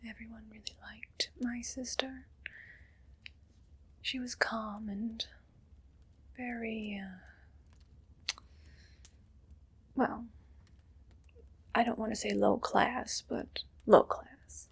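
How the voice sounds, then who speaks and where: A woman playing a haughty, condescending evil queen in a whispered ASMR roleplay, breathy and deliberate. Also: on the high side, fairly even intonation, exaggerated voice. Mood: disdainful, resentful. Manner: slow, deliberate, imperious and condescending.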